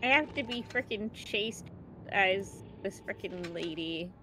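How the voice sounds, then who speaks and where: A woman's voice: mid-range voice, measured pace, sharp tone, a little hiss or hum, rough recording. A woman chatting casually with friends during an online game, with dry, sassy banter. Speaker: friend in voice chat. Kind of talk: casual gaming chat.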